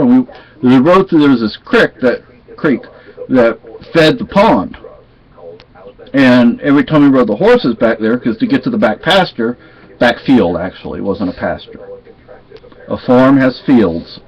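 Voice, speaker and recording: Southern-accented Texan man, casual vlogger, folksy and meandering, mid-pitched, muffled lo-fi sound. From Texas. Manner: rambling, folksy, with many restarts. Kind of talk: casual storytelling chat. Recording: dull lo-fi sound.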